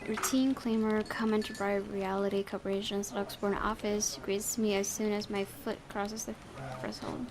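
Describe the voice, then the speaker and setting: A woman's voice: medium pitch, moderate pace, background noise. A woman reading visual novel narration aloud, breaking into casual asides as a gaming YouTuber. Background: background music.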